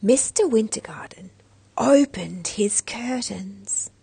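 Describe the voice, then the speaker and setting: A woman reading a children's story aloud as an expressive narrator. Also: medium pitch, slow pace, vocal fry, husky, no room sound. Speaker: storybook reader.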